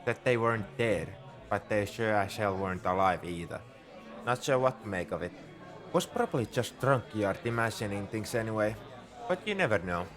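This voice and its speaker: Man playing a low-voiced, shrewd space bartender. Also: expressive, normal speed, natural voice. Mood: reflective, musing. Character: shrewd, easygoing male bartender in a space outpost. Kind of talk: ASMR roleplay, scripted character monologue. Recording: crowd chatter behind the voice. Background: bar crowd murmur.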